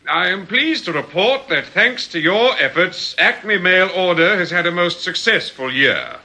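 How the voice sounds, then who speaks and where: An actor playing a genial, piously courteous English boss of ex-convict swindlers in a radio drama, suave and measured. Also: male voice, high voice, very animated, pushed and bright, heavily acted. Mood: grandly genial. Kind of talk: British radio drama acting. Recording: faintly noisy radio drama recording.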